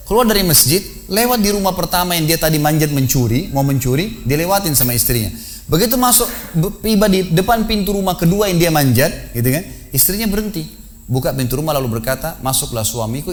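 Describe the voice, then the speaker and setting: A man preaching at a mosque gathering, telling a story with lively, acted-out dialogue. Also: slight background noise. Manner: animated storytelling, acts out dialogue with rising pitch.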